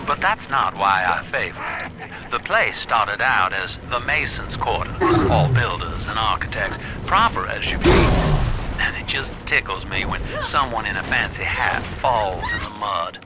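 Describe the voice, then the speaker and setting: Low-voiced wry, seedy city dweller. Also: male voice, lively intonation, unhurried, loud music behind the voice. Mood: wry, amused. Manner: folksy, wry, savoring storytelling. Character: worldly, wry denizen of a seedy underwater city. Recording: loud mechanical and rumbling background noise.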